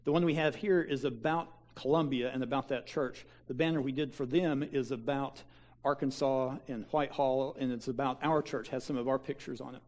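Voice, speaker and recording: Folksy pastor with halting delivery. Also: male voice, mid-range voice, fairly even intonation, a little mellow. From Kansas. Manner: folksy, halting, with many fillers and restarts. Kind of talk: church mission-trip slideshow talk. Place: church sanctuary.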